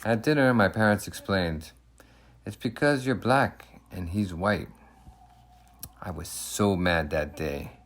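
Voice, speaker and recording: A man with a low voice narrates a children's picture book. He speaks in the first person with emphatic, deliberate phrasing, and his intonation moves up and down in a very lively way. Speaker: storybook reader.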